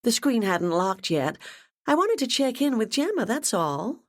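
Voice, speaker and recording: A bubbly young woman, voiced by a woman reading a storybook, gushing in bright, high bursts that leap up and down. She sounds excited and a little scattered, pitched well above the reader's own low voice. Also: unhurried.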